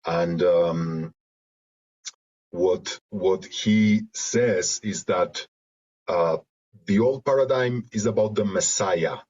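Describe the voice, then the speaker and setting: A man from Argentina with a Spanish accent, reflecting in an interview in halting, searching phrases over a video call. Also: low-pitched, darkish tone. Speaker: interview guest, creative and therapeutic facilitator. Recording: dull lo-fi video call audio.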